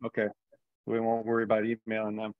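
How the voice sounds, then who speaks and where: A man's voice: fairly low pitch, completely flat delivery, fast, dry recording. A man talking casually in an online meeting, hedging and trailing off between thoughts. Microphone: online conference call. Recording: dry, dull band-limited call audio.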